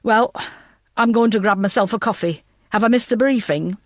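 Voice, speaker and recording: A woman's voice: mid-range voice, normal speed, no echo, clean studio sound, natural voice. A woman voicing a tired, loyal male police detective with a matter-of-fact manner in an audiobook. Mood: weary.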